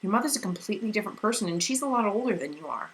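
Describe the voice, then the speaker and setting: A woman doing a gentle, soft-spoken teenage boy. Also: medium pitch, expressive, rapid-fire, slight background noise, voice pushed up. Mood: tender.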